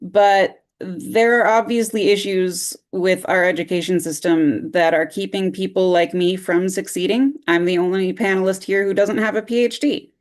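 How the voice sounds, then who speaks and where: A woman's voice: lowish voice, fairly even intonation, moderate pace, few pauses. A woman speaking candidly and earnestly as a panelist. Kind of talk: personal statement on a panel.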